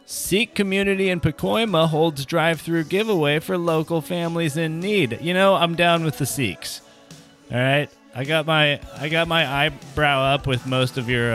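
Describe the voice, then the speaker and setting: A man's voice: medium pitch, a bit bright. An American man hosting a podcast, rambling through jokey opinions on the news and tossing rhetorical questions at listeners. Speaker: podcast host. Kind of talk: casual comedic news chat.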